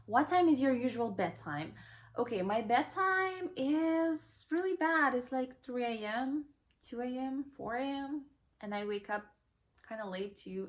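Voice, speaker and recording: A young woman whose first languages are Kazakh and Russian, reading questions aloud and answering them in a relaxed, rambling way with plenty of fillers. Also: on the high side. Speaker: vlogger. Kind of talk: casual Q&A vlog.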